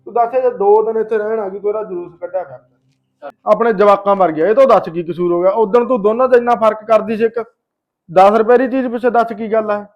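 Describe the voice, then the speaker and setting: A man's voice: sits high, fairly even intonation, very fast, hardly any pauses, echoey room, highs cut off, soft background music. A man playing a stern, protective elder brother and head of household in a family drama, insistent in manner. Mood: angry, reproachful. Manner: insistent, reproachful. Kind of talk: Punjabi domestic drama dialogue.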